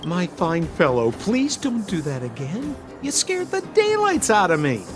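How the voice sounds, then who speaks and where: A man's voice: high voice, wildly swooping pitch, a little slow, exaggerated voice. A man voicing a roguish, ingratiating con man guide in a video game, with flowery, theatrical politeness. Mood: pleading. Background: game music.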